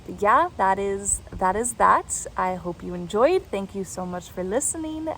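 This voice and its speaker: Slow, gravelly-voiced woman talking softly. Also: medium pitch, animated, slight background noise, low-quality recording. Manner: soft-spoken, reflective. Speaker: host. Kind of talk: casual reflective talk.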